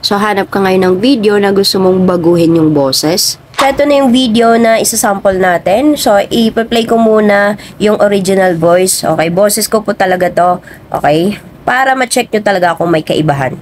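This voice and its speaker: A woman's voice: mid-range voice, moderate pace, slight background noise. A woman hosting a casual how-to tutorial. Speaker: video tutorial host. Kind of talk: casual spoken app tutorial.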